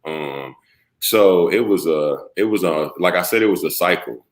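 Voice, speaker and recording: Interview guest, man, thirties, very low voice, relaxed and open. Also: normal speed. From South Carolina. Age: 30s. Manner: relaxed, talks openly, breaks into laughs. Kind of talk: casual interview.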